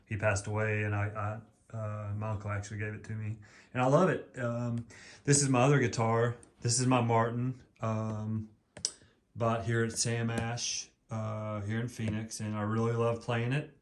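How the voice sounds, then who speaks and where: A man from East Texas with a deep voice, rambling in a folksy way with many ums in a casual home how-to video, recorded at home on a camera mic. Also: monotone, normal speed. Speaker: vlogger.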